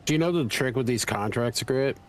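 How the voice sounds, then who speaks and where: A man's voice: medium pitch, a little fast. A man with an ordinary mid-range voice joins a streamer for casual banter. He teases a little and explains game tricks in a loose, conversational way. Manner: teasing, offers gameplay tips. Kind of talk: casual chat.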